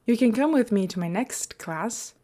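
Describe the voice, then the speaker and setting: A woman's voice: fairly high pitch, animated, normal speed, mellow. A woman voicing an exasperated young male computer science student in a story, dry and teasing. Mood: wry, teasing.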